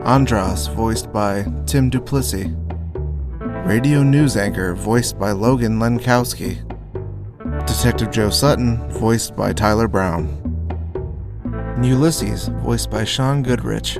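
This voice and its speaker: Male podcast announcer for scripted outro: slow, measured read, average pitch, guitar bed. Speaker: podcast announcer reading credits. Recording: guitar music behind the voice.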